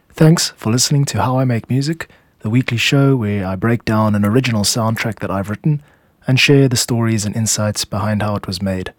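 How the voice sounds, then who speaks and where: A man's voice: mid-range voice, dry recording, studio quality. A man narrating a scripted podcast as its host, explaining how music is made.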